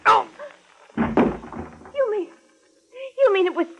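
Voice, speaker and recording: Slow, flustered radio ingénue. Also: female voice, very high voice, lively intonation, gravelly, dull audio, background noise, full character voice. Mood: shocked. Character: soft-hearted, flustered young woman client. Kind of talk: 1950s radio drama acting. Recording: early 1950s radio broadcast.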